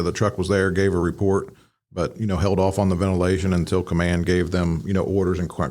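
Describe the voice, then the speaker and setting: A man's voice: sits low, a little mellow. An American man from the Midwest giving casual analytical commentary, explaining step by step with plenty of "you know". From Midwestern United States. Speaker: podcast host and fire command instructor.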